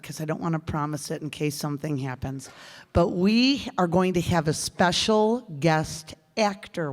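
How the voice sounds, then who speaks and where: An American woman, a theater director, making informal, enthusiastic and rambling announcements to a live audience. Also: on the low side. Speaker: director and actor in a radio theater group. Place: live performance hall. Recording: reverberant hall.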